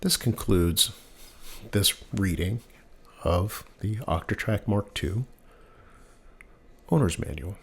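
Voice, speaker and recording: A man reading scripted technical text in a soothing, measured voice with deliberate pauses, as the host of a sleep-aid reading. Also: on the low side, vocal fry, breathy edge.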